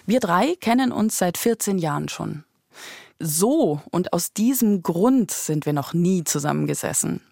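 A woman's voice: lowish voice, animated, measured pace, creaky, dark tone, no echo, studio quality. A woman in her thirties hosting a podcast with conversational narration. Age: 30s. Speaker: podcast host.

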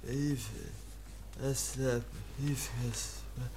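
An actor playing a childlike young foundling who barely speaks, halting and repeating single words in broken speech in a radio play. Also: male voice, medium pitch, little pitch movement, dark tone, slight background noise. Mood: insistent. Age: young adult. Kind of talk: German radio play acting.

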